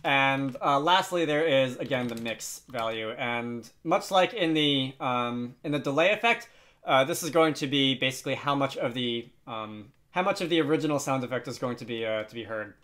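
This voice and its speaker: A man hosting a casual software tutorial, thinking aloud hesitantly and adding wry, self-deprecating asides. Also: medium pitch, animated, moderate pace. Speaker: tutorial host.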